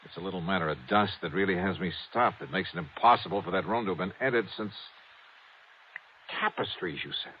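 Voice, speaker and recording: An actor with an American accent playing a shrewd, skeptical insurance investigator in a 1950s radio mystery, clipped and wry. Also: male voice, sits low, fairly quick, lightly acted. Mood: puzzled, resolved. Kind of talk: 1950s radio detective acting and narration. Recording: dull vintage radio recording, faint noise.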